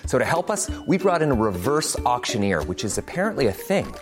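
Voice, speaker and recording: A man reads a scripted advertisement as a spokesperson. His pitch swings very widely from word to word, and music plays clearly behind him. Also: very animated, fairly quick. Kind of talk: scripted ad read. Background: music bed.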